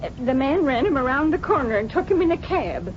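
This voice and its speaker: An actress playing a worried, gentle mother in an old radio drama, speaking earnestly and fretfully. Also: high-pitched, very animated, a little fast. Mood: worried recounting. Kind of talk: 1930s-40s radio drama acting. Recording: muffled vintage radio recording, faint noise.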